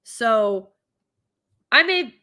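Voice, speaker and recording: A woman's voice: fairly high pitch, extremely slow. A woman hosting a commentary show, talking opinionatedly and stuffy with a cold, dropping into mocking impressions now and then. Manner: animated, mocking impressions in asides. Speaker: show host.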